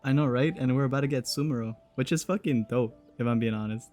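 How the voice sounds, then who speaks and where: A young male streamer talks casually over a video game in a mid-pitched voice. He is slangy and jokey and swears freely, with faint game music behind him. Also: fast. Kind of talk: casual reaction commentary on a game.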